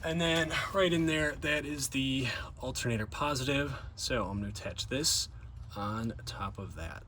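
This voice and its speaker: A man's voice: mid-range voice, a little slow, sharp tone, slight background noise. A man giving a casual, informal hands-on narration to viewers, thinking aloud as he works on a vehicle. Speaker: DIY car vlogger and host. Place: in and around a van.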